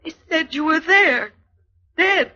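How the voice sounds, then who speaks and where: An actress playing an anxious, devoted middle-aged housewife, fretful and pleading, in 1940s radio drama style. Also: female voice, very high-pitched, slow, pushed and bright, heavily acted. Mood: shaken, bewildered. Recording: muffled, noisy vintage radio recording.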